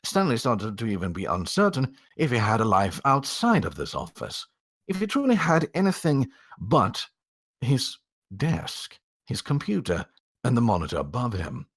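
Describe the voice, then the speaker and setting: A man reading a story aloud as a theatrical narrator, drawing out words for emphasis and pausing for drama. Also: medium pitch, wildly swooping pitch, husky.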